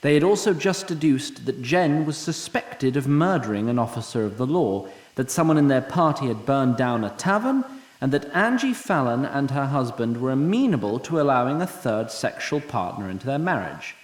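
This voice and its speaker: A man's voice: mid-range voice, animated, moderate pace, reverberant, natural voice. A man playing a haughty immortal storyteller, narrating a fantasy audio drama in a droll, formal, arch manner. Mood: measured, wry. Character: wry, lofty immortal storyteller, haughty toward mortals.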